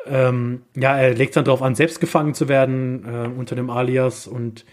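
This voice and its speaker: A man co-hosting a casual film discussion podcast, speaking haltingly as he searches for his words. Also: mid-range voice, little pitch movement. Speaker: podcast co-host.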